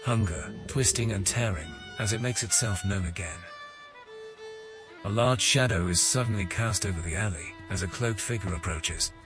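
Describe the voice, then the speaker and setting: A man playing the storyteller narrator of a fantasy audio game, measured and dramatic in his scripted delivery. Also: lowish voice, music in the background, lightly acted. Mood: measured, ominous.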